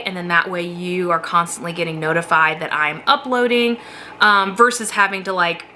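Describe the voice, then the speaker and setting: A woman's voice: on the low side, sharp tone, a little hiss or hum. A woman with a slightly sniffly voice from a cold, chatting casually to camera from her basement office, rambling and laughing as she talks. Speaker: beauty vlogger.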